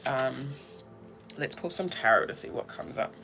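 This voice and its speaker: A man with a New Zealand accent giving a casual, conversational tarot reading in a reflective, halting way. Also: medium pitch, lively intonation, vocal fry, bright, forceful tone, soft background music. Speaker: tarot reader and host.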